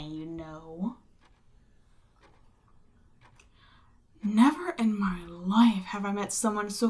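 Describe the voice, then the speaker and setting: A woman voicing a seductive, imperious demon queen in an audio roleplay, speaking deliberately and theatrically, with breathy near-whispered phrases. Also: medium pitch, a little mellow, lightly acted. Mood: exasperated, wounded pride. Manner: slow, deliberate, theatrical, persuasive. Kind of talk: roleplay audio drama, ASMR monologue.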